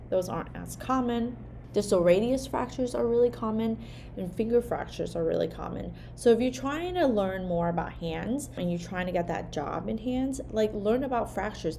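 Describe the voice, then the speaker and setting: A woman's voice: lowish voice, gravelly, dark tone, a little hiss or hum. A woman whose first language is Vietnamese, a hand therapist giving a casual teaching talk, peppered with "like" and "right?". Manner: conversational, frequent "like" and "right?" tags.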